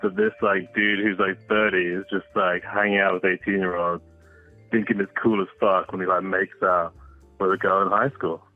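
A man's voice: low-pitched, flat delivery, moderate pace, faint music behind. A man in his thirties who grew up in Singapore, calling in to a podcast, laid-back and slangy, laughing easily. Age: 30s. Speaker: caller. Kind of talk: casual chat by phone. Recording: tinny dry phone line.

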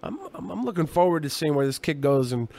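A man's voice: on the high side, expressive, fast talker, a little mellow. A man co-hosting a podcast, chatting casually in a jokey, rambling, profane way. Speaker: podcast co-host.

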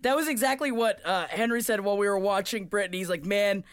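A young woman co-hosting a casual comedy podcast, loud and swearing as she tells stories. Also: medium pitch, fast talker. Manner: loud, swearing, lively storyteller. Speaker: podcast co-host.

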